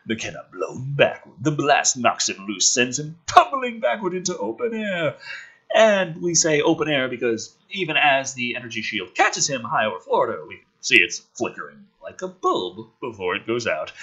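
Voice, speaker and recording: A man's voice: mid-range voice, big pitch swings, moderate pace, sharp tone, breathy edge, lo-fi sound. A man reading a film script aloud in a theatrical, emphatic style, with sarcastic asides.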